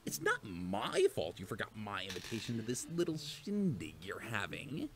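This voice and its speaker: A woman's voice: extremely low pitch, huge pitch swings, normal speed, full character voice. A woman playing a smug, mischievous male chaos creature in a cartoon, teasing with a theatrical drawl. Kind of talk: animated fan cartoon acting.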